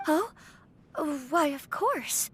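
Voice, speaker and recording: High, lilting noble lady. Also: expressive, drawn-out words, slight background noise, heavily acted. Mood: polite wonder. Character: polite, genteel young noble lady, attentive and eager. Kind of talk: scripted video game voice acting.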